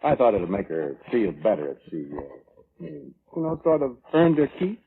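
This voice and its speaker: An actor playing a defensive, weaselly brother testifying at an inquest, halting and evasive, in old radio drama style. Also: male voice, mid-range voice, sing-song, creaky, slightly rough, full character voice. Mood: indignant. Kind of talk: 1940s radio drama acting. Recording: tinny, noisy old radio recording.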